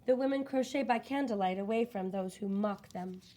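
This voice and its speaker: A woman reading a children's book aloud in expressive, scripted narration with deliberate, dramatic phrasing. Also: mid-range voice.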